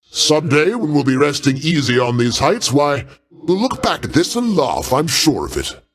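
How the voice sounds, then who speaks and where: An actor playing a proud, paternal older god in a video game, speaking in a pompous, avuncular way with formal, theatrical diction. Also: male voice, fairly high pitch, sing-song, normal speed. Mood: encouraging, proud. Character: grandiose, paternal older male Greek god, proud, easily offended.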